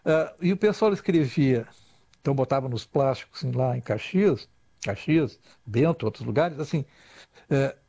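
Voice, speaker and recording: A man's voice: mid-range voice, expressive, normal speed, dark tone, no room sound. Older man, talkative and dull-toned. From Rio Grande do Sul, Brazil. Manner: rambling, lots of restarts and "tá?" tag questions. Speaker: guest expert. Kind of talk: conversational interview. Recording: dull lo-fi dry sound.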